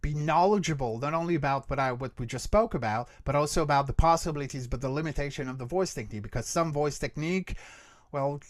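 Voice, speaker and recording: A man's voice: on the high side, fast. A man teaching in an online workshop, explaining emphatically and often checking that listeners follow. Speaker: guest creature voice instructor.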